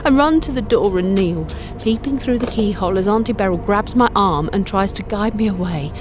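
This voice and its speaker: A woman reading a first-person audio drama as narrator, expressive and suspenseful. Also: mid-range voice, fairly quick. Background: music.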